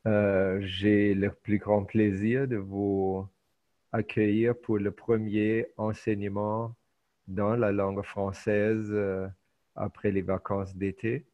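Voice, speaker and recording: A middle-aged man whose first language is German, giving an online lecture in a hesitant way with many filler pauses. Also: on the low side, little pitch movement. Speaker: teacher. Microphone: video call. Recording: muffled video call audio.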